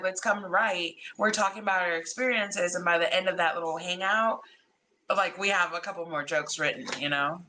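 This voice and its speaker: A young woman from Mexico, Spanish her first language, talks through her thoughts in a halting, filler-heavy ramble. Her voice is bright and forward, with a faint hiss of background noise. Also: medium pitch, poor audio. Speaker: comedy producer guest. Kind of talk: casual podcast chat.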